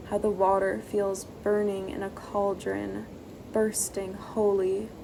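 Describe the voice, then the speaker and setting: A woman poet reading her work aloud in a soft, quiet, measured voice over a video call from home. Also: mid-range voice, a little hiss or hum. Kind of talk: poetry reading.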